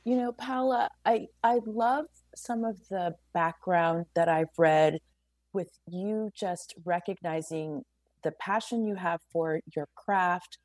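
Lower-voiced woman co-host. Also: takes it slowly, darkish tone, dry recording. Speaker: co-host interviewer. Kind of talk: interview questions.

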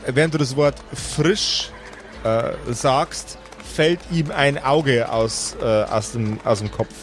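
A man narrating a tabletop game as game master, improvising deliberately and searching for his words. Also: medium pitch, faint music behind.

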